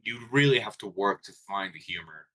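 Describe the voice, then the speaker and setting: Colombian-accented man, deep voice with a bright edge, relaxed and rambly. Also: a little fast. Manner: relaxed, rambling, self-interrupting. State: tired and chewing gum. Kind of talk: casual chat.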